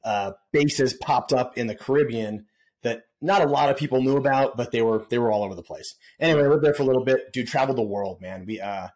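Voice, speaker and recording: A middle-aged man telling stories as a podcast guest in a casual, laid-back way, often saying dude and man. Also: medium pitch. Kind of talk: casual storytelling interview.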